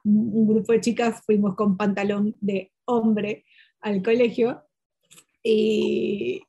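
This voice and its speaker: Woman interview guest, Portuguese speaker; lively storyteller full of fillers and self-corrections. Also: mid-range voice, slightly rough. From Peru. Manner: lively, animated, many fillers and restarts. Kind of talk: casual conversational storytelling.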